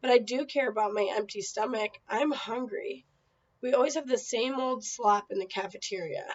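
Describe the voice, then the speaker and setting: A woman's voice: on the high side, normal speed, highs cut off, close to an everyday voice. A woman voicing a mischievous, complaining young schoolboy. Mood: grumbling.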